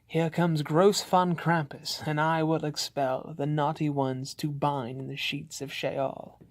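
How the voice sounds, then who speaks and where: A man's voice: on the high side, level tone, unhurried. A man voicing a sadistic Christmas demon who commands his beasts in a theatrical, menacing way.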